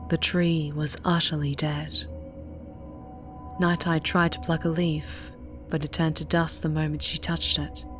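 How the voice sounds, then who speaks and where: Female audiobook narrator, low register, measured and dramatic, reading fiction over a soft music bed.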